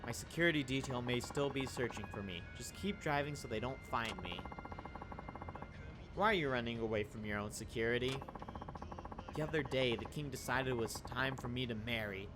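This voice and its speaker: A man's voice: medium pitch, moderate pace, lightly acted. A man reading aloud the lines of a haughty young woman who claims to be a runaway princess, in formal, stiff phrasing, over game music.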